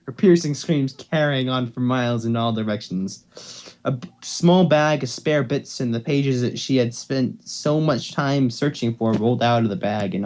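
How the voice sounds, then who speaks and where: A man's voice: medium pitch, warm tone, lo-fi sound. A man hosting an amateur fan fiction reading, who stumbles over words and repeats phrases as he goes. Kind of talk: amateur dramatic story reading.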